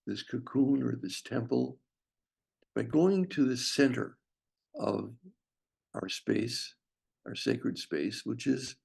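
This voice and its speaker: Slow, gravelly man guiding meditation. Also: mid-range voice, darkish tone. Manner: slow, pausing delivery in short phrases. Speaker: breathwork teacher leading a guided meditation. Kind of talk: guided meditation.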